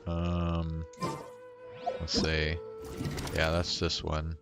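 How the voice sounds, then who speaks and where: Very deep, slow-talking man streaming a game. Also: monotone, loud music behind the voice. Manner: rambling, hesitant, thinking aloud. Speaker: game livestreamer. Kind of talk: casual chat while playing a game.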